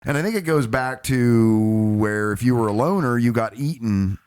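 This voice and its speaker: A man's voice: medium pitch, normal speed, a little mellow, smooth, no room sound, clean studio sound. A middle-aged man hosting a podcast, rambling in a laid-back way and laughing often. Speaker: podcast host. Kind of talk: casual late-night chat.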